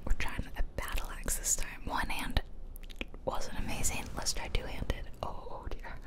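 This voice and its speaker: A woman whispering chatty, self-deprecating commentary over gameplay in a soft, breathy ASMR style. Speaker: ASMR gaming video host.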